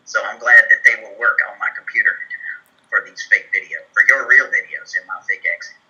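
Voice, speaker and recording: A man playing a simple, earnest Southern fellow with a put-on accent, speaking in a folksy, deliberate deadpan for a comedy tutorial. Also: lowish voice. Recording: muffled, telephone-like band-limited sound.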